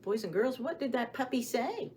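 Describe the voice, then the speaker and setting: A woman hosting a story time for young children, speaking to them with questions and expressive emphasis as she shares rhymes and picture books. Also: fairly high pitch, normal speed, a little hiss or hum.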